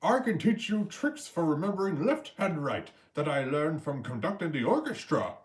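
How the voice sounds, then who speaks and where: A man's voice: on the high side, very animated, normal speed, pitched above the performer's own voice. A man voicing a supportive male crab who mentors his friend encouragingly in a children's storybook reading. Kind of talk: children's storybook read-aloud.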